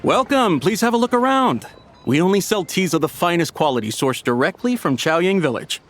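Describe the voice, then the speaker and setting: A man's voice: sits high, expressive, normal speed, fairly bright tone, a little hiss or hum. A man voicing an eager, polite shopkeeper in a video game, with obsequious salesman patter. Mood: eager, ingratiating. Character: eager, polite male tea shop merchant.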